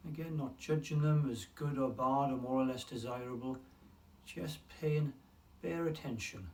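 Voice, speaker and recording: A man leading a guided mindfulness meditation in a calm, unhurried way, leaving long pauses between phrases. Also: medium pitch. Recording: distant mic with faint room noise.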